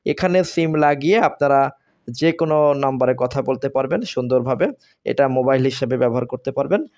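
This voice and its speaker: A man with a Bangladeshi accent giving unscripted sales talk as a seller, explaining haltingly and repeating himself. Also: mid-range voice, darkish tone, highs cut off. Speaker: seller presenting products on video.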